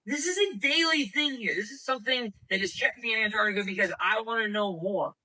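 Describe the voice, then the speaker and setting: A man narrating an excited vlog on location, emphatic and prone to swearing, recorded at a distance from the microphone. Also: sits high, fairly quick.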